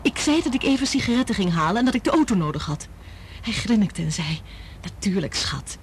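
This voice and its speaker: A woman's voice: mid-range voice, very animated, a little fast, a little hiss or hum. An actress in a radio drama playing an anxious, determined woman scientist who speaks urgently and earnestly. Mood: anxious, distressed.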